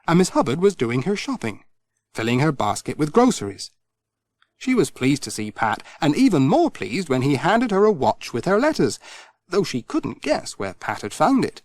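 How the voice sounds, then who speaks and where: Male audiobook narrator for children's storybook, highly varied intonation, expressive storytelling, dry recording. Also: no room sound.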